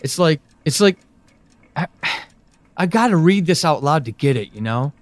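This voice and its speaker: A man playing an anxious, pleading character in an audio drama monologue, speaking earnestly and haltingly. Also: fairly high pitch, lively intonation, no room sound, natural voice. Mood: hurt, hesitant. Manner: halting, earnest, with pauses and emphatic swings. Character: anxious, pleading man reaching out to a lost loved one.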